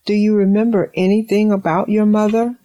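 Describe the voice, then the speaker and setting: A woman playing a caring, composed middle-aged mother with measured, formal wording in an audio drama. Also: mid-range voice, flat delivery, dark tone, no room sound, natural voice.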